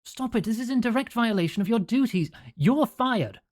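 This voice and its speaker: A man voicing an angry male doctor who shouts in a read-aloud story. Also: very high-pitched, expressive, normal speed, voice pushed up. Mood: furious.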